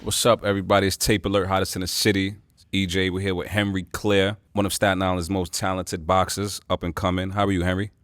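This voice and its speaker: Low-voiced New interviewer. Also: male voice, little pitch movement, fast talker, a bit bright. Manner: hype intro, then easygoing questions. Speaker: interviewer, show host. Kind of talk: casual interview. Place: boxing gym.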